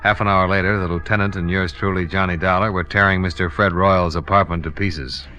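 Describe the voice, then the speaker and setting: Actor as deep-voiced, wry American radio detective. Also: male voice, steady tone, brisk, natural voice. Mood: dry narrating. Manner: dry, wisecracking. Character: wry, hard-boiled male freelance insurance investigator. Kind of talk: 1950s radio detective acting and first-person narration. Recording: old radio drama with music behind.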